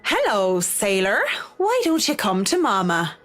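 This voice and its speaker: A woman's voice: mid-range voice, big pitch swings, unhurried, a bit bright, soft background music. An actress voicing a cynical, bookish young female architect in a video game, with a dry, sarcastic manner. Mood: playfully smitten.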